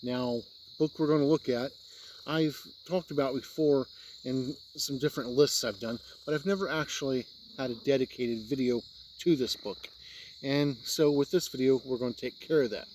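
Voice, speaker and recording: A man's voice: mid-range voice, moderate pace, darkish tone, slight background noise, poor audio. A man giving an unscripted explanatory review, talking plainly step by step and sometimes repeating himself. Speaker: tabletop game reviewer.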